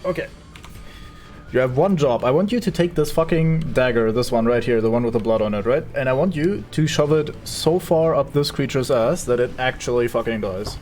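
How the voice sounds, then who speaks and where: A man's voice: medium pitch, animated, fairly quick, a little mellow, a little hiss or hum. A man from Germany with a German accent, giving casual, sarcastic commentary as he streams a game and swears at it.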